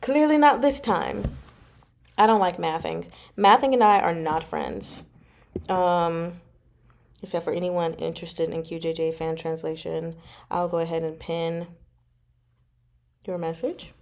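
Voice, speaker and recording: A woman chatting casually as a livestream host, informal and expressive, drawing out words for emphasis as she answers viewers. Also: on the low side, measured pace, vocal fry, long pauses, slight background noise. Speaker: host of a book club livestream. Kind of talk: casual chat, reading and answering viewer comments.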